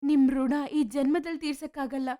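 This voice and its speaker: Woman doing a young village girl, high voice, frank and warm-hearted, storybook dialogue. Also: steady tone, moderate pace, lightly acted. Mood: grateful. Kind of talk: animated moral story dialogue.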